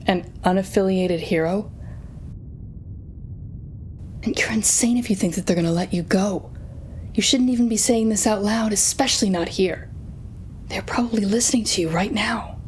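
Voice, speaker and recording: A woman's voice: mid-range voice, darkish tone, a little hiss or hum, lightly acted. A woman playing a sardonic, telepathic supervillain in an audio roleplay, speaking straight to the listener with dramatic, wry exasperation. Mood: incredulous, wary. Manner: dramatic, wry, exasperated, halting when flustered.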